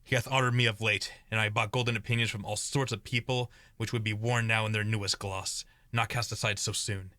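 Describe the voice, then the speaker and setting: A young man plays a conflicted, ambitious lord in a Shakespeare radio drama. The voice is fairly low with a forceful edge, declaiming verse earnestly. It is recorded very dry. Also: steady tone, brisk, few pauses, no echo. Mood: wavering, defensive. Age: young adult. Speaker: student actor. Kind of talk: amateur Shakespearean radio play acting.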